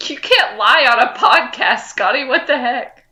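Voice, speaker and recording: A woman's voice: sits high, animated, unhurried, bright, forceful tone. A woman co-hosting a podcast in casual fan chat. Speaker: podcast co-host.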